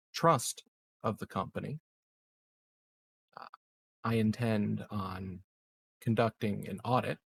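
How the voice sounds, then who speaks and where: A man playing a measured, calculating and discreet corporate executive in a roleplaying game, speaking formally with long deliberate pauses. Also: on the low side, vocal fry, slight background noise. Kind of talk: improvised in-character roleplay dialogue.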